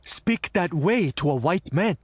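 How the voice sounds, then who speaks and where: A man's voice: fairly high pitch, animated, slightly rough, no room sound, pitched above the performer's own voice. A man playing a feisty, firm immigrant mother with an emphatic way of talking, in an audio drama. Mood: stern, hushed. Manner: emphatic, slow, mixing in Japanese words.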